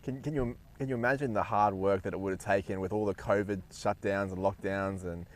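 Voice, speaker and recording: A man commentating a cricket match live, chatty and quick to laugh. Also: lowish voice, steady tone. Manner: chatty, laughs easily, many restarts.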